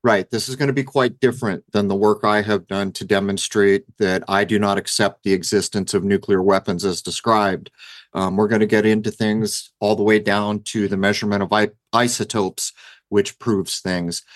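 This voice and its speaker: A man hosting a talk radio show, introducing guests and asking brisk, direct questions. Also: on the low side, fairly even intonation, no echo, studio quality.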